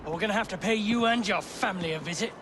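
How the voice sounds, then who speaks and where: Man playing a very high, bullying gang thug. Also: rapid-fire, background noise, lightly acted. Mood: threatening. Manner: menacing, excitable. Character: bullying Victorian gang thug, threatening and loud. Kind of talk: video game cutscene acting.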